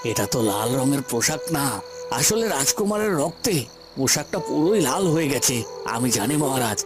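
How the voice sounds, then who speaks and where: A man's voice: medium pitch, big pitch swings, a little fast, over music, close to an everyday voice. A man playing a scheming courtier in a fairy-tale cartoon, outwardly respectful and deferential as he explains himself to a ruler. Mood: solemn, persuasive. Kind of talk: Bengali fairy-tale cartoon dramatic acting.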